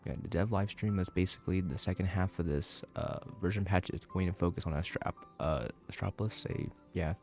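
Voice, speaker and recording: A man giving casual, halting and skeptical commentary as he plays a video game. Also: low voice, darkish tone, soft background music. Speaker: gaming YouTuber.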